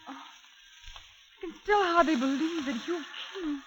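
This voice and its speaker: An actress playing a naive, anxious young heiress in danger, earnest and dramatic, in old-time radio suspense style. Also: female voice, extremely high pitch, slow pace. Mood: distraught. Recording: dull vintage radio recording with music.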